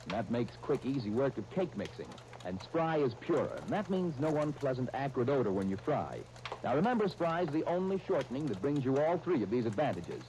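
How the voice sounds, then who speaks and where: A man's voice: medium pitch, sing-song, fairly quick, darkish tone, low-quality recording. A man with an American accent announcing in the sponsor style of 1930s radio. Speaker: radio announcer and show host. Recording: hissy 1939 radio broadcast disc.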